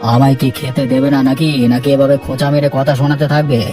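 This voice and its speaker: A man's voice: mid-range voice, little pitch movement, fast, close to an everyday voice. A man playing an irritable, sarcastic village husband who nags in a cartoon fairy tale. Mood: sarcastic irritation. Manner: sarcastic, nagging. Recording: clear music behind voice.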